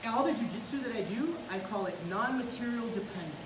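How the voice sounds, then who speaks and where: A woman's voice: on the high side, lively intonation, quick pace. A woman teaching jiu-jitsu, encouraging and walking a student through each move as they grapple. Her voice comes from far across a large gym, with a roomy echo and a noisy background. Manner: encouraging, coaching step by step while grappling. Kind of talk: hands-on technique teaching. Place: large indoor gym with mats. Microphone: camera far across the room.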